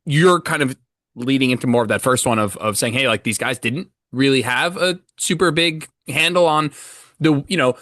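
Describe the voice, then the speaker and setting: A male podcast host talks in an animated, offhand way and swears freely. His voice has an ordinary pitch and a dry, close recording with no room sound. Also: moderate pace, dry recording. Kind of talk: casual podcast interview.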